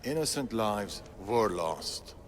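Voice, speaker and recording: A man's voice: fairly low pitch, expressive, slow pace, long reverb tail. An actor playing a stern, secretive older father and veteran rebel in a video game. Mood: grave. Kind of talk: video game dramatic acting.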